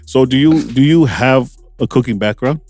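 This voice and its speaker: A man's voice: medium pitch, a little fast, a little mellow, no echo. A man hosting a podcast, asking the questions in an easygoing way with short agreements. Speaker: podcast host, interviewer. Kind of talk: casual podcast chat.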